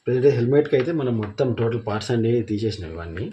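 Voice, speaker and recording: A man's voice: medium pitch, animated, very fast, dark tone, a little hiss or hum. A man giving a casual, step-by-step product walkthrough, mixing in English words. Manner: informal, explains step by step with English words mixed in. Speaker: product reviewer. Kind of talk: casual unboxing and installation walkthrough.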